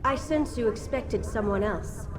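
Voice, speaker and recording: A woman playing a proud, coolly defiant Templar in a video game, speaking in a measured, mocking way. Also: a little high, a crowd in the background, close to an everyday voice. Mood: composed, knowing. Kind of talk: dramatic video game cutscene acting.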